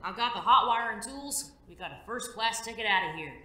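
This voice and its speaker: A woman's voice: on the high side, lively intonation, fairly quick, sharp tone. A woman playing an eager, mouthy villain's minion in an audio drama, in an echoing space. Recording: echoing room sound.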